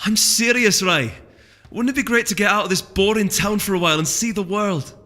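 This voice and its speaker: A man's voice: high-pitched, a little fast, pushed and bright, voice pushed up. A man voicing a bubbly, adventurous young female pony with a Scottish accent and an eager, chattering manner in a storybook reading. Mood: indignant. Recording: long reverb with music underneath.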